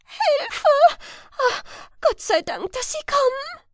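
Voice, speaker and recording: Woman reader doing a scared young woman, breathless and distraught, high voice, crime audiobook. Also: sing-song, slow pace, dry recording, clean studio sound, full character voice. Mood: terrified. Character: frightened breathless young woman calling for help.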